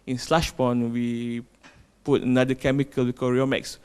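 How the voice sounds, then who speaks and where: Male presenter, clean resonant voice, halting, repeats himself. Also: mid-range voice, flat delivery, a little mellow, clear, resonant tone. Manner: halting, repeats words while explaining. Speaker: company presenter. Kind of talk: technical product presentation.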